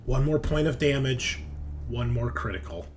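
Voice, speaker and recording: A man's voice: mid-range voice, moderate pace, a little hiss or hum. A man running a tabletop roleplaying game, narrating off the cuff in a casual, vividly descriptive way. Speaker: game master.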